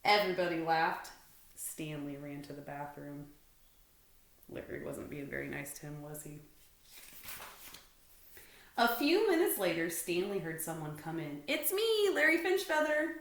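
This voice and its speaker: A woman's voice: low voice, animated, a little slow, pauses often, slight background noise. A woman reading a children's storybook aloud expressively, like a teacher to pupils. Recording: roomy indoor echo.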